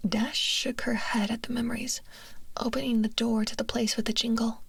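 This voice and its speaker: Female ASMR storyteller: breathy whisper with some crackle, close and dry, soft narration. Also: on the high side, little pitch movement, moderate pace, dry recording. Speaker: ASMR streamer reading fan fiction. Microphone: close binaural microphone.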